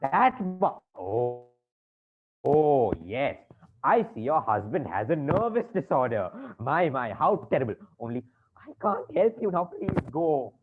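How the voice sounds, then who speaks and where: A man's voice: on the high side, very animated, slow. A flustered bank manager in a stage farce, with a widely swooping voice. He is fussy, over-polite and exasperated, on a thin, tinny recording with faint noise. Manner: stammering, fussy, over-polite turning frantic. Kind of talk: comic stage-play acting. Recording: tinny band-limited audio, faint noise.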